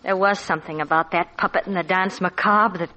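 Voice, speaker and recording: A woman's voice: on the low side, steady tone, brisk, pushed and bright, muffled recording. Nervous, tense-voiced woman. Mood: eerie awe. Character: nervous, dramatic woman impostor, easily terrified. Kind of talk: 1940s radio thriller acting.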